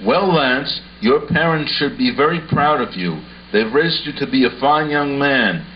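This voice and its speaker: Puppet show rich gentleman played by a man: medium pitch, formal, authoritative, muffled recording. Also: animated, measured pace, lightly acted. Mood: formal, grateful. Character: commanding, formal, grateful wealthy gentleman. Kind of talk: amateur puppet show acting. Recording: muffled home recording with hiss.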